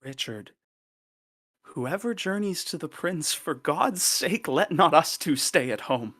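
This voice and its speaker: Woman playing a swooping, slow-spoken scheming duke. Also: sits low, expressive, a bit bright, exaggerated voice. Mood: conspiratorial. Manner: measured, oratorical verse speech. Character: smooth scheming male duke, courtly political counsellor. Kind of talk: Shakespearean radio play acting.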